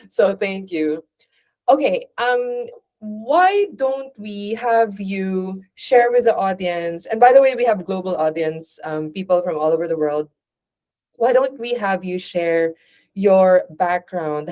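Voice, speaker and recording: A woman hosting a podcast interview, responding warmly and encouragingly with frequent affirmations. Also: medium pitch. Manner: warm, affirming, frequent backchannels. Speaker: podcast host. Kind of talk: casual interview conversation.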